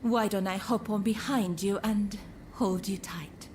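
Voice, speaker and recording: Woman acting a slow-spoken, coy, elegant courtesan. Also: mid-range voice, a little mellow, slight background noise, natural voice. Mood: teasing, grateful. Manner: refined, formal, coquettish phrasing. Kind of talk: video game cutscene acting.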